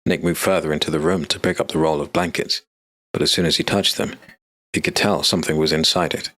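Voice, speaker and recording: A man narrating a scripted dark fantasy story as an audiobook narrator. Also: rumbling low, steady tone, brisk.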